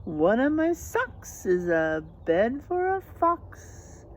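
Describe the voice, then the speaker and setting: A woman voicing a kind male giant in a children's storybook, with a singsong, expressive delivery. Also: on the high side, slow, exaggerated voice. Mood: cheerful singing.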